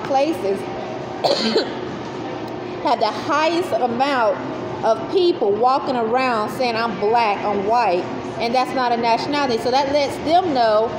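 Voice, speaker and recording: High-pitched woman, unscripted explainer, halting with fillers; roomy phone-style recording. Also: background noise. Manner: repetitive, searching, many fillers and restarts. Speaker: speaker explaining beliefs. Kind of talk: casual spoken monologue.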